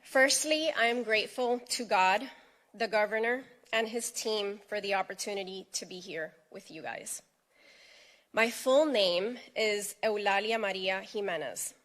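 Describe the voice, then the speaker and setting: A woman's voice: mid-range voice, a little slow, a bit bright. A middle-aged woman from Miami giving an earnest, emphatic prepared speech at a press event. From United States (Miami).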